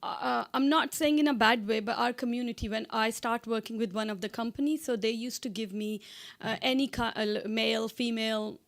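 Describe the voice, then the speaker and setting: A middle-aged woman from Pakistan, whose first language is not English, talking about her work as a driving instructor in a radio interview, pausing often with fillers and restarts. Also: on the high side, steady tone, vocal fry. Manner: hesitant, many fillers and restarts. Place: radio studio.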